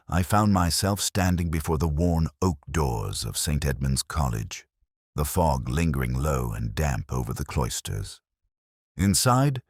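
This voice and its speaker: A man narrating a scripted first-person detective mystery in a measured, reflective, literary manner. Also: very deep voice, no echo, studio quality.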